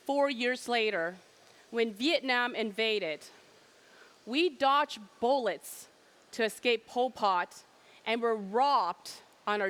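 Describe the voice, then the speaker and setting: A woman's voice: on the high side, a bit bright. A middle-aged woman from Cambodia giving an emphatic keynote presentation at a trade fair and asking rhetorical questions.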